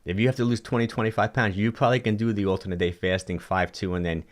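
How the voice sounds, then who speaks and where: A man in his sixties hosting a fitness livestream, talking casually and answering viewer comments, animated and prone to quick restarts. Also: lowish voice. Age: 60s. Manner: animated, talks with his hands, frequent restarts and repeats. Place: indoor home studio with colored lights. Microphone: large studio mic on a boom in front of him.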